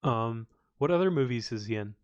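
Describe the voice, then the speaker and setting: A man's voice: mid-range voice, expressive, moderate pace, no echo, muffled recording. A man from Hungary co-hosting a podcast in casual chat, hesitant and often restarting his sentences. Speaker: podcast co-host.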